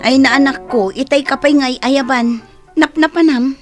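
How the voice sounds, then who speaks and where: A woman's voice: sits high, fairly even intonation, moderate pace, faint music behind, lightly acted. A woman playing an affectionate mother and welcoming neighbour in a radio drama, with a Filipino accent.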